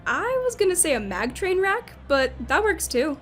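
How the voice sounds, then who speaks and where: A woman plays a wry space investigator in an audio drama. Her high voice has a teasing manner, with faint music behind. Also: sing-song, moderate pace, fairly bright tone, exaggerated voice.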